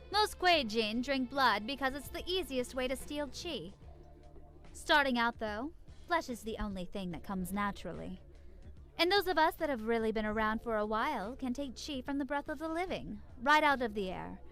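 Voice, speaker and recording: A woman's voice: a little high, animated, moderate pace, fairly bright tone, faint music behind. An actress voicing a brash, streetwise vampire woman in a video game, with a blunt, playful swagger. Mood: explaining.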